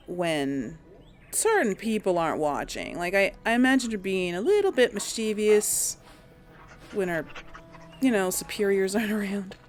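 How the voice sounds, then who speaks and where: A woman doing casual game commentary, musing aloud to herself and her audience. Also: on the low side. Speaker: let's play host. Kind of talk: casual game commentary with some role play.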